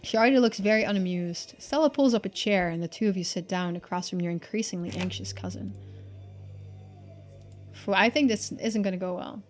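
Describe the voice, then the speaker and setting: A woman's voice: mid-range voice, a little fast, soft background music. A woman streaming a game, informally thinking aloud and reading the narration. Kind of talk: casual game commentary and read-aloud narration.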